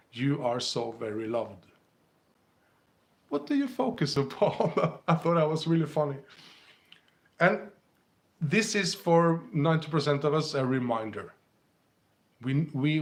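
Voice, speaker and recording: Man, Norwegian accent, slightly high voice, gentle live-stream host, phone mic. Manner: gentle, unhurried, chuckles often. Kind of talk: casual live-stream chat, reading comments and passages aloud.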